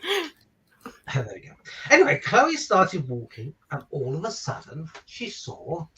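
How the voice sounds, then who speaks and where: A man's voice: a little high, huge pitch swings, a little slow, sharp tone, distant mic, slight background noise, low-quality recording. A man reading a children's picture book aloud, with chatty asides and questions to his listeners. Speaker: stand-in children's story reader. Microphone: virtual call, away from the microphone.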